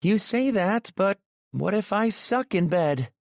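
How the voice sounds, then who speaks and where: A man's voice: high voice, expressive, slow pace, creaky, no echo, clean studio sound, pitched above the performer's own voice, exaggerated voice. A man putting on a shy, playful young woman. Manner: light, teasing, a little nervous.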